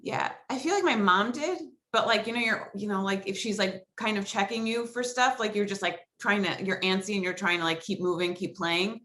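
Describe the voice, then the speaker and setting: An American woman in her forties from Long Island talks as a podcast guest. Her voice is bright and of average pitch, and her delivery is casual, chatty and rambling, full of conversational asides. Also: brisk, hardly any pauses. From Long Island, New York, USA. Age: 40s. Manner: chatty, rambling, peppered with "like" and "you know". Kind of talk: casual chat.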